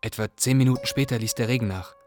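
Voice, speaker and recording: A man's voice: mid-range voice, quick pace, a little mellow, lightly acted. A man playing a restless, longing young refugee who narrates his journey in a radio play. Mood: wistful.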